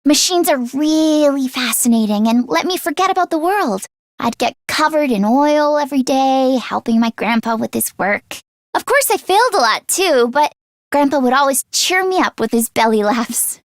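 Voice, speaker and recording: A high, youthful voice for a video game's anxious mechanic girl. She is sweet, expressive and earnest, quick to fret and put herself down. The recording is very dry, with no room sound. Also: no room sound. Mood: fond, nostalgic. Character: anxious teenage girl mechanic, self-deprecating, sweet, easily flustered. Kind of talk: video game character voice acting.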